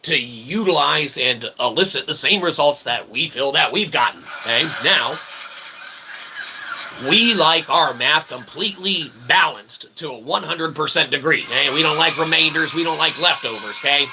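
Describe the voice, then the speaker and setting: A man with a fairly high, gravelly voice rambles through a comic mock-philosophical monologue. His phrasing is pompously wordy, broken up by crude language and little tag questions. Clear ambient noise sits behind him. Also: animated, moderate pace. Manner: punctuates statements with short tag questions. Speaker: solo streamer and channel host. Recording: clearly noisy, with ambient background sound.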